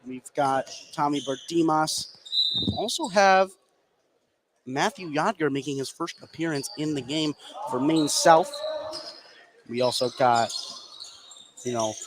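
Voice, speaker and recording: Male play-by-play announcer, high voice, live basketball radio call over clear venue noise. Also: slow pace, long pauses. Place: indoor high school gymnasium.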